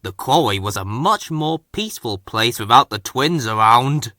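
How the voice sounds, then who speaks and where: A man reading a scripted children's story aloud with expressive, dramatic narration. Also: mid-range voice, normal speed, dry recording, studio quality. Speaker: storybook reader.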